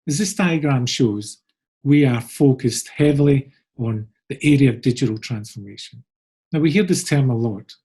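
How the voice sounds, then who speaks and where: A man's voice: medium pitch, warm tone, husky. A middle-aged Scottish man giving a friendly, upbeat pre-recorded conference talk about his agency. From Scotland. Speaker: agency co-founder presenting.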